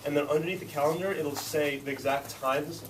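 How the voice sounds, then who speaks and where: A man's voice: a little high, steady tone, brisk. A young man with a congested voice, making rambling club announcements full of fillers to a student meeting. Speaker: club officer in charge of rotations. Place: classroom meeting. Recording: distant mic in a noisy room.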